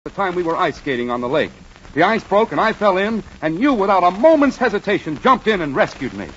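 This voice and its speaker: A man with an American accent playing a friendly, earnest druggist pal and pitchman as a 1940s radio announcer. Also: very animated, fairly quick, fairly bright tone. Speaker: announcer and sidekick. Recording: muffled, noisy 1940s radio recording.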